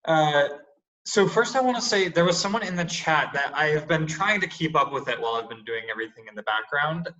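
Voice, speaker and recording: A man's voice: on the high side, expressive, a little fast, bright, forceful tone, rough recording. A man reading audience questions on a video call, a bit nervous.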